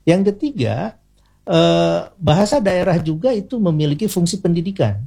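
An Indonesian man speaks as an expert guest in an interview. His voice is slightly high, with a soft, mellow tone and plenty of gravelly creak. He explains in a teacherly way, setting out points and adding small tag words as he goes. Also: animated, moderate pace. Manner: didactic, enumerating points, often adds short tag particles.

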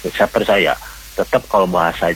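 A man with a low voice speaks as a radio caller over a faintly hissy line. He rambles earnestly, repeating himself and halting as he urges his point. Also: steady tone, a bit bright, rough recording. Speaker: radio call-in listener. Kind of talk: rambling earnest opinion talk.

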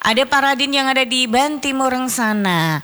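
A woman hosting a call-in radio show, chatty and teasing, quick to laugh. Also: sits high, music in the background. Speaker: radio host.

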